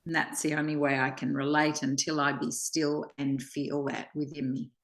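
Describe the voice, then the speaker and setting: A woman speaking reflectively in a spiritual discussion, halting and pausing in the middle of her sentences. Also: low-pitched, little pitch movement. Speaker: study group participant.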